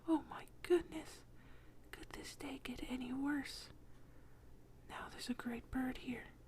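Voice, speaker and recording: A woman voicing a frightened little boy in an anxious, breathy whisper for a bedtime story. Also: high-pitched, steady tone, slow pace, pitched above the performer's own voice. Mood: shocked. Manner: hushed, anxious exclamations.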